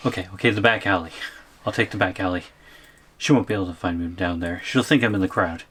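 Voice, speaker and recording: A man's voice: low voice, quick pace, a bit bright, slightly rough. A man playing a scared, weary captive on the run in an audio roleplay, hesitant and muttering. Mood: panicked, hiding. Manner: hesitant, muttering to himself, pleading.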